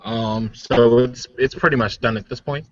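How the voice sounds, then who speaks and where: A man chatting casually as a player in a tabletop game, slangy and joking, with halting phrasing. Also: medium pitch, steady tone, no room sound, muffled recording.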